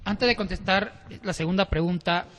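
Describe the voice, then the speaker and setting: A man's voice: high voice, normal speed, a bit bright, slight background noise. Man explaining church history, reverberant. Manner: explanatory, with frequent restarts and hesitations. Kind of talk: casual discussion of church history. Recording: long reverb.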